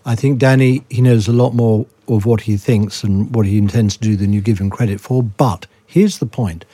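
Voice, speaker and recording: A man with a low, warm voice who talks in a measured, deliberate way on a political podcast. His tone has a husky edge, and the sound is dry and close. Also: fairly even intonation, no echo. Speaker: guest commentator.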